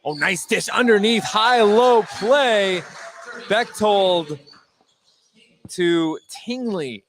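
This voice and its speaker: A man's voice: sits high, drawn-out words, fairly bright tone. A man giving live play-by-play radio commentary on a basketball game. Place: indoor gym at a basketball game. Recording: clear gym noise behind the voice. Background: basketball bounces and gym ambience.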